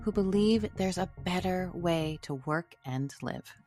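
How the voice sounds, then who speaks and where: A woman's voice: fairly low pitch, unhurried, over loud music. A woman hosting a podcast, upbeat and enthusiastic, reading a scripted intro and then chatting with her guest. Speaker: podcast host.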